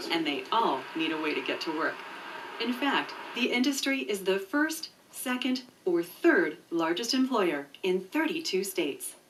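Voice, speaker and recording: A woman narrating a scripted promotional video in a clear, measured, informative way. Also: medium pitch, background noise. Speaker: voice-over narrator. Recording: muffled distant VHS tape dub with music. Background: background music.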